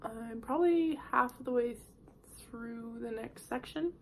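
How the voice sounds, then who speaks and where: A woman hosting a knitting podcast, sick and nasal, chatting in a rambling, friendly way and clearing her throat now and then. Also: on the high side, unhurried, a little hiss or hum, poor audio. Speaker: podcast host. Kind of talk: casual knitting podcast chat.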